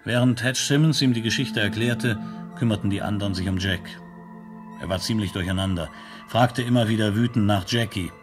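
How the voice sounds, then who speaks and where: An actor playing a detached narrator of a science fiction radio play, telling it in a factual, report-like manner. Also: male voice, fairly low pitch, fairly quick, natural voice. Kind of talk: scripted narration, 1970s German radio drama. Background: electronic music.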